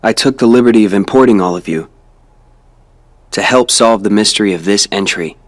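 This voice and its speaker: A young man playing a calm, cryptic figure made of data, explaining things evenly in a game cutscene. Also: lowish voice, lightly acted. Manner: calm, measured.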